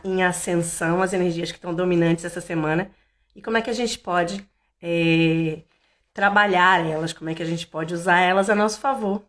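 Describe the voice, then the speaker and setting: Hoarse woman, chatty tarot live from home, lots of ums and restarts. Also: medium pitch, fairly bright tone. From Salvador, Bahia, Brazil. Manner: chatty, meandering, many fillers and restarts. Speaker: tarot reader hosting a weekly live. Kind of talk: casual live-stream chat.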